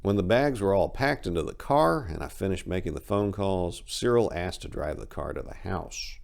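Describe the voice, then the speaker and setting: Deep gravelly man narrating a story. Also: expressive, normal speed, darkish tone. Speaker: author reading own short story. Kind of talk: dramatic scripted narration of a horror story.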